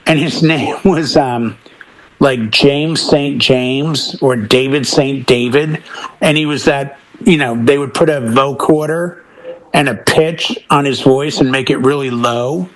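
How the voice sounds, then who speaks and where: Male DJ panelist, mid-range voice, conversational, opinionated storyteller with animated delivery. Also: medium pitch, measured pace, rough recording. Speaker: DJ and podcast panelist. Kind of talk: casual chat.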